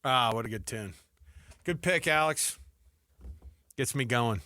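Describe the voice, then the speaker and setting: A middle-aged American man co-hosting sports talk radio with casual, animated, colloquial banter. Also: mid-range voice, measured pace, no room sound. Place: radio studio. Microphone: close studio microphone.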